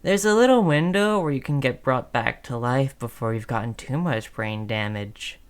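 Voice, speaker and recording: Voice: medium pitch, normal speed, clear, resonant tone, natural voice. A performer playing a friendly, chatty undead zombie who wheedles in casual slang, in a scripted audio roleplay. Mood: chatty, reminiscing. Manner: casual, cajoling, slangy salesman-like patter.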